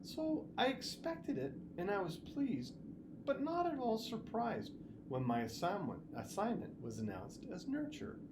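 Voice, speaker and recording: A storybook reader playing a kindly, reassuring father who chats gently about his own youth. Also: medium pitch, wildly swooping pitch, a little slow, noisy background, voice pushed up. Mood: pleased, modest.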